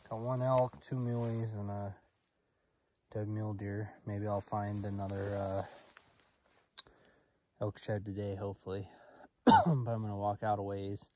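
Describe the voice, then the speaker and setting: A young man talking in a lowish, slightly raspy voice as he vlogs on his own outdoors. His delivery is relaxed and mumbly, and his sentences often fade out. He speaks into a handheld camera out in open sagebrush hills. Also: slow, pauses often, slight background noise. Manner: relaxed and mumbly, trailing off quietly, bursts of excitement. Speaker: outdoor vlogger. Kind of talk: casual solo vlog narration.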